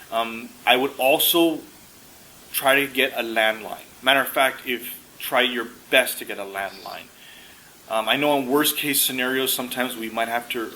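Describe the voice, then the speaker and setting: A man with a bright, forward voice coaches listeners in an informal way. He explains step by step, a little haltingly, repeating words to stress them. Faint noise sits behind him. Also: mid-range voice, rough recording. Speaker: career coach giving interview advice. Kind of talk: informal advice talk.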